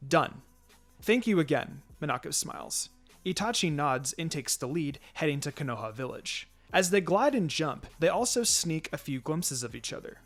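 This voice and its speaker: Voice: mid-range voice, expressive, moderate pace. A narrator reading a fan fiction story aloud in a storytelling style, acting out the dialogue. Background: background music.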